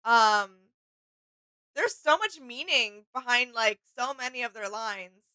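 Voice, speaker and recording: A woman's voice: fairly high pitch, expressive, slow, pushed and bright, no echo, rough recording. A woman co-hosting a reaction video, talking in a casual, conversational way.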